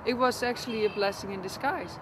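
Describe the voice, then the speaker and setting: A woman in her thirties with a Dutch accent, English not her first language, talking casually to camera outdoors, often pausing and restarting. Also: on the high side, noisy background. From the Netherlands. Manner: chatty, halting, restarts often. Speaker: massage teacher. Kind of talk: casual talk to camera and massage demonstration. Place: outdoors in front of a building.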